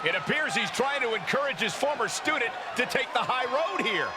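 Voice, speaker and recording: An actor playing a polished lead wrestling announcer in a video game, calling play-by-play in a projected voice. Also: male voice, very high voice, animated, brisk, a loud crowd, heavy background noise. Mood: urgent, narrating. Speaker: play-by-play commentator. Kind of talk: sports commentary.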